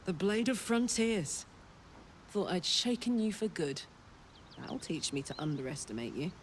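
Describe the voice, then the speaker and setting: An actress playing a brash but earnest devilish warrior fugitive in a video game, blunt and colloquial, with a British accent. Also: female voice, fairly low pitch, expressive, a little slow, slight background noise. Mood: wry defiance. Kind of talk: video game character acting.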